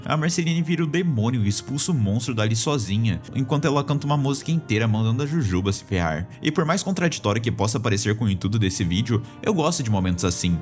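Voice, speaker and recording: A Brazilian man narrating a scripted video essay in a casual, opinionated, explanatory way. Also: medium pitch. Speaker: YouTube video essayist on cartoons.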